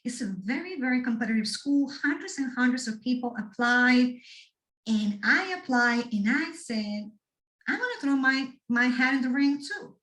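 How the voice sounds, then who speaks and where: Lady, middle-aged, pumping up students, kinda high voice, echoey and far from the mic. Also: normal speed. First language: Spanish. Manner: animated, addresses the audience directly with frequent "right?". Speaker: speaker at a student workshop. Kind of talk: motivational talk to students.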